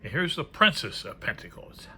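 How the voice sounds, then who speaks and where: A man's voice: on the high side, big pitch swings, moderate pace, sharp tone. A man giving casual, unscripted commentary as he presents a card deck, musing aloud and trailing off into murmured asides. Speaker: presenter reviewing a tarot deck. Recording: faint background noise.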